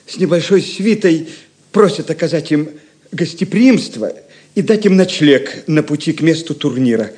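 Audio drama: ceremonious servant herald male actor, slightly raised pitch, formal announcement delivery. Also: mellow, natural voice. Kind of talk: Russian audio drama acting.

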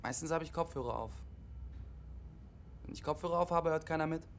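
A man's voice: a little high, a little fast, slight background noise, close to an everyday voice. An actor playing a withdrawn, literal-minded CB radio operator in his twenties, matter-of-fact and pedantic, in a naturalistic radio drama. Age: 20s.

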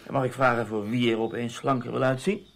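A man's voice: mid-range voice, fairly even intonation, fairly quick, creaky, close to an everyday voice. A man acting a sardonic, bickering husband in a Dutch radio play, sarcastic and exasperated.

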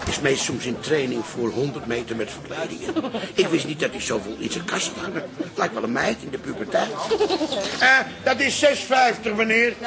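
A man's voice: fairly high pitch, animated, normal speed, creaky, over a noisy crowd. An older man acting a jovial, teasing father behind a pub bar in a radio comedy, with folksy delivery.

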